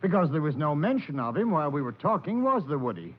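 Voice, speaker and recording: An actor playing a curt, defensive, suspicious amusement park boss with insistent delivery in a 1940s radio crime drama. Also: male voice, on the high side, big pitch swings, quick pace. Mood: defensive, sharp. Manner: brusque, insistent. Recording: dull, noisy old radio recording.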